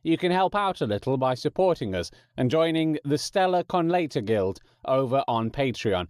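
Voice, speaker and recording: A man's voice: medium pitch, sing-song, moderate pace, smooth, dry recording. English man hosting, dry close sound. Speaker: podcast host. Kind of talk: casual chat to listeners.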